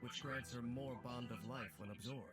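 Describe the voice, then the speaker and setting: A man narrates a game trailer from a script in a measured, formal storyteller's way. His voice sits at a middle pitch. Music plays clearly behind him throughout. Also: fairly even intonation.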